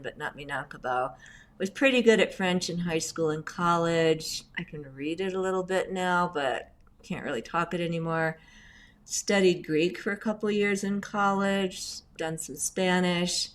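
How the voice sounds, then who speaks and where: A middle-aged woman, raised in Indonesia, telling chatty stories with lively asides as a guest in an interview. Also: low-pitched. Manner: chatty, animated storyteller with asides. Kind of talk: casual storytelling interview.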